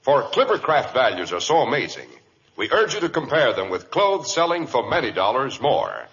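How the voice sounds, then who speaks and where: A man's voice: mid-range voice, very animated, moderate pace. An American man announcing and selling in polished, emphatic 1940s radio style. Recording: muffled vintage radio recording.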